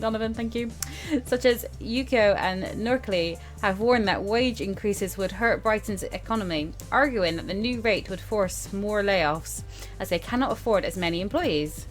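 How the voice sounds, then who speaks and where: A woman hosting a casual let's-play, reading game text aloud with informal asides and stumbles over the written words. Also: mid-range voice. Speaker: gaming video host. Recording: music behind the voice.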